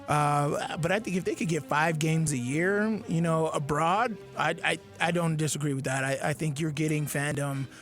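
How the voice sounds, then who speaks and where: A man co-hosting a sports radio show, talking in halting, repetitive bursts and giving blunt opinions. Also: a little high, fairly even intonation, a bit bright, faint music behind. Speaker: fill-in radio co-host. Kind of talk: casual sports radio chat.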